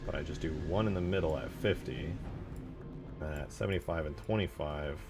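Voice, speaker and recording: Deep-voiced man streaming casually over music. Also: fairly quick. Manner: informal, self-effacing, thinking aloud. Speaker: streamer and game modder. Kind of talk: casual live stream commentary while working. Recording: music playing behind the voice.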